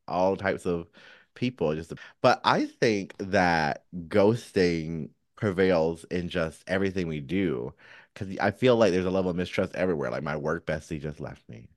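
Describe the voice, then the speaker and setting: Very low-voiced man chatting candidly. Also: no room sound, studio quality. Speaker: podcast co-host; counselor. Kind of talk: casual chat, candid and personal.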